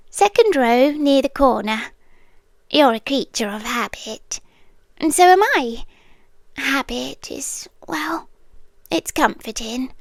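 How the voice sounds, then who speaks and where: Casting: actress to play young British yandere stalker for ASMR roleplay; high-pitched, slow, soft, hesitant, sweetly obsessive. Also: female voice, dry recording, studio quality, exaggerated voice. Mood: giddy, confessional, then nervous, coaxing. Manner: soft, breathy, hesitant, drifting into whispers. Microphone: close microphone, dry.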